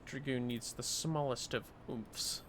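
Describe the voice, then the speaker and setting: A man's voice: mid-range voice, steady tone, a little slow, noisy background. A man livestreaming a game, talking to himself in a playful, rambling, casual commentary. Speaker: livestreamer playing an online fantasy game.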